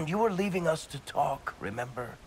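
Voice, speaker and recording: An actor playing a teasing, offhand dwarf storyteller in a video game. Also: male voice, fairly high pitch, expressive, moderate pace, gravelly, a little hiss or hum. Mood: wry. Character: wry, easygoing male dwarf storyteller.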